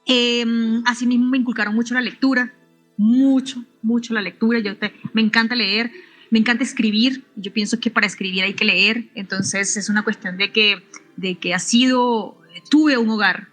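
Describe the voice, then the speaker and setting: A middle-aged woman from Venezuela, a physicist answering interview questions in a halting way, often restarting and adding tag questions. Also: on the high side, fairly even intonation, a bit bright, faint music behind, low-quality recording. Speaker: physicist and researcher, interview guest.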